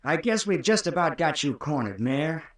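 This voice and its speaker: A man's voice: on the high side, big pitch swings, moderate pace. An actor playing an earnest, suspicious young American hero, protective of his sweetheart, in an old-time radio horror drama. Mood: accusing, insistent. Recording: roomy, distant old radio recording.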